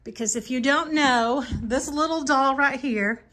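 A woman's voice: high voice, a little slow, bright, forceful tone. A woman talking casually in a home vlog, rambling and correcting herself in a conversational way as she goes. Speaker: home and cleaning vlogger. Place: rooms inside a house, bedroom and bathroom.

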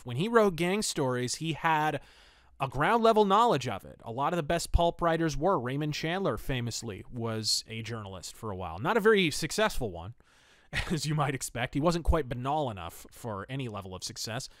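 A man's voice: a little high, no room sound, studio quality. A man hosting a review podcast, talking casually and enthusiastically with emphatic, colloquial storytelling. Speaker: host of a pulp fiction review podcast.

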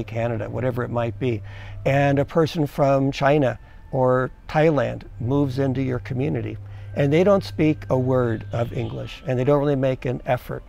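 A man's voice: medium pitch, vocal fry, dark tone, muffled recording. A middle-aged man from the United States, an expat giving earnest, conversational advice to viewers and asking them questions. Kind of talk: casual advice to camera.

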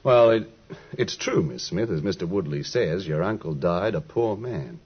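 An actor playing a polite, persuasive gentleman with a measured, slightly hesitant manner in a 1950s radio drama. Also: deep voice, expressive, slightly rough, heavily acted. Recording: dull old 1950s radio recording.

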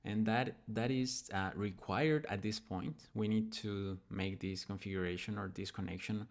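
A man with a fairly low voice hosts a programming tutorial. He talks casually and informally, thinking aloud as he demonstrates each step. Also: moderate pace. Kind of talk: casual screen-recording software tutorial.

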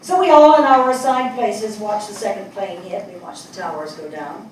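A woman's voice: mid-range voice, normal speed, a little mellow, close to an everyday voice. An older woman on stage acting a reflective, wry middle-aged journalist from New York in a dramatic monologue. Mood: wry, sober. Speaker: stage actress in a dramatic reading. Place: indoor small theatre stage. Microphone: far camera. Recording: echoey theatre, distant mic, faint noise.

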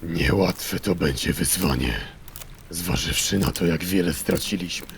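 An actor playing a calm, caring, wise male draenei in a fantasy audio drama, with a low, gravelly voice. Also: measured pace, slight background noise. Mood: thoughtful, reassuring.

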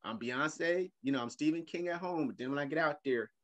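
A middle-aged man talking as a guest on a video call, animated and playful, often repeating his words. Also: a little high, brisk, noisy background. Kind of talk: casual video-call interview. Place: home room with leather couch.

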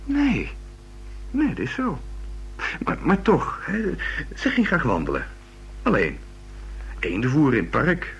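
Animated, hesitant young male student. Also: fairly high pitch, vocal fry, muffled recording, soft background music, exaggerated voice. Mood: hesitant, eager. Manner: hesitant, halting. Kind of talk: Dutch radio drama dialogue. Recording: slightly noisy old radio recording.

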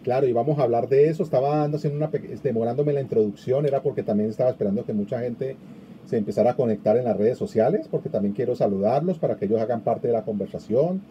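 A man's voice: medium pitch, quick pace, dull audio, slight background noise. A middle-aged man hosting a live online interview over a video call, chatting easily and reading out viewer comments. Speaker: interview host. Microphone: video call webcam.

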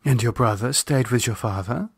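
A man's voice: mid-range voice, normal speed, creaky, slightly rough, no room sound, clean studio sound. A man voicing a worried, caring woman in an audiobook, questioning earnestly with some reproach. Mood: surprised, questioning. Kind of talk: audiobook character dialogue.

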